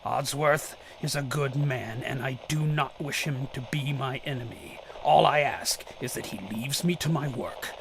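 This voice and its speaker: Radio drama role: grim, cryptic vigilante, creaky gravelly voice, half-whispered menace, slightly noisy audio. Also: male voice, mid-range voice. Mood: grave, foreboding. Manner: slow, ominous, formal speech. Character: grim mysterious male vigilante, cryptic and resolute.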